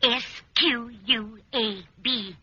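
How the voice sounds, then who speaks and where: A woman's voice: on the high side, drawn-out words. An actress playing a cheerful, scatterbrained older landlady who dotes on her cat, exclaiming in an old radio comedy. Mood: cheerfully dotty. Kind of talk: 1940s-50s radio comedy acting. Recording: noisy, muffled old radio recording.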